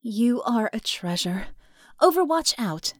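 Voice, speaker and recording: A woman voicing a brisk young technomage woman, lively pitch. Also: no echo, clean studio sound, exaggerated voice. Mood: brisk, commanding.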